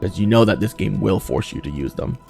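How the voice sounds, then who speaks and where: A low-pitched man with a gravelly edge talks through a video game in a casual way. His delivery moves up and down freely as he thinks aloud, and music plays faintly behind him. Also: animated, a little fast, a little mellow. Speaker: gaming video host. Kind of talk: casual playthrough commentary.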